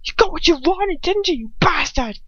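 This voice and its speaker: Woman acting a hot-headed young man, shouting outbursts. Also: very high voice, animated, moderate pace, no room sound, voice pushed up, heavily acted. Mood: screaming fury. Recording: slightly dull, lo-fi home recording.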